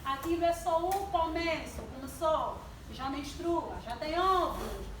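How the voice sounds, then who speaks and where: High-voiced young woman preaching in an echoey hall. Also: measured pace. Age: 20s. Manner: asks the audience questions and draws responses. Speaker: church youth speaker. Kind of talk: sermon-style talk to a congregation. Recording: distant mic in an echoey hall with background noise.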